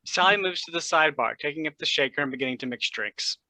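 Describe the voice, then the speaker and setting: A stage directions reader with a bright, forceful voice at a medium pitch, recorded dry. The delivery is scripted narration for a play reading. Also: animated, fast talker, no room sound, poor audio.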